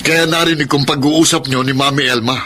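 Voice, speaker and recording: An actor playing a hurt, bitter husband in a Filipino radio drama, with a Filipino accent and a reproachful manner. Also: male voice, fairly high pitch, fairly even intonation, brisk, noisy background, close to an everyday voice. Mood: accusing. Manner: wounded, reproachful. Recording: clear music bed under the voice.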